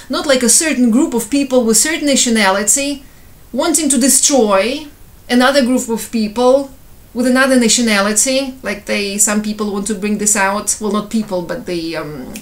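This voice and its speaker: A middle-aged Ukrainian woman, a mother speaking earnestly at home and asking to be believed. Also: fairly high pitch. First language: Russian. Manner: earnest, persuasive, repeats "okay?" and "believe me". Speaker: mother and housewife. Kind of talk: casual monologue to camera. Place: home, at night.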